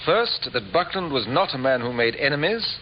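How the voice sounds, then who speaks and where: Male actor as courteous, probing detective inspector, lively intonation, formal radio-drama style, echoing vintage recording. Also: medium pitch, normal speed, highs cut off, slight background noise, close to an everyday voice. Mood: probing, businesslike. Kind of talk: 1950s radio drama acting.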